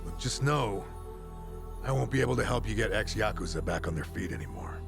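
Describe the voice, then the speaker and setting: Seasoned loyal comrade, gravelly, lowish, frank, lively intonation, game drama. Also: male voice, brisk, over music. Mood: steady reassurance. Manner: frank, reassuring. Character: gruff, seasoned, steadfast comrade, man. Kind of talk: dramatic video game cutscene acting.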